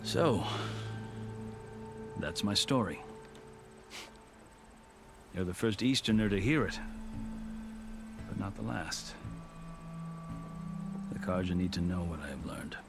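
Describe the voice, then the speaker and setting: A man playing a seasoned, proud warrior turned lawgiver in a video game, telling his tale in measured, formal, eloquent phrases. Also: low voice, expressive, gravelly, heavily acted. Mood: earnest, hopeful. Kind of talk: scripted video game cutscene dialogue. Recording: music behind the voice.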